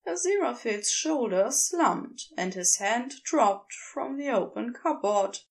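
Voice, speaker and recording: A woman reading scripted fiction as an audiobook narrator, with an expressive, measured storytelling delivery. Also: fairly high pitch, creaky.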